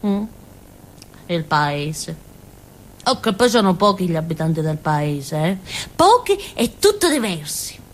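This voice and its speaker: Childlike southern Italian village simpleton, swooping voice. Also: female voice, on the low side, big pitch swings, full character voice. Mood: chatty, simple. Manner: childlike, halting, giggly, muttering little asides. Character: naive, simple-minded village woman, childlike, excitable, wistful. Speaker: stage actress. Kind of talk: theatrical monologue, colloquial storytelling.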